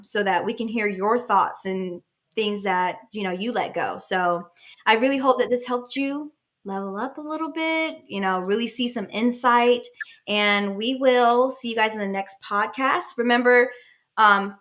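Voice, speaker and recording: A woman's voice: on the high side, a little slow, fairly bright tone. A woman in her late twenties hosting a casual live-stream podcast over a video call, chatty and rambling, with restarts and fillers. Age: 20s. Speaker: podcast host.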